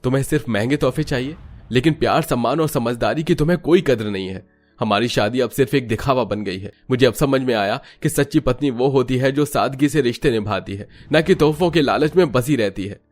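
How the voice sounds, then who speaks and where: A man's voice: mid-range voice, fairly quick, natural voice. A man performs a fed-up husband in a cartoon family drama. He is reproachful and emphatic, over a music bed. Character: exasperated husband drained by wife's greed.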